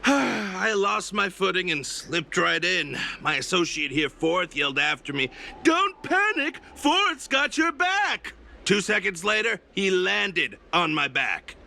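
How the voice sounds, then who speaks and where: A man playing a bumbling, effusive comic sidekick in a video game, dramatic, with a creaky, sharp voice. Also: sits high, big pitch swings, slow pace, slight background noise, exaggerated voice. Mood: aggrieved. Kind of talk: game voice acting.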